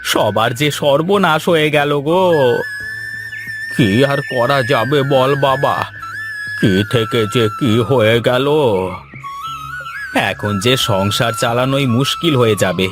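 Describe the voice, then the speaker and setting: A man playing kindly village farmers in a children's cartoon folktale, with slow, dramatic delivery. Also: mid-range voice, close to an everyday voice. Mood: distressed. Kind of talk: Bengali children's cartoon story dialogue. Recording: music under the voice.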